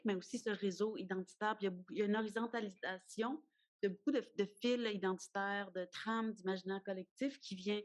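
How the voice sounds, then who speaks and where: A woman speaking thoughtfully as a panelist in an academic round table, often repeating words as she thinks. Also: mid-range voice.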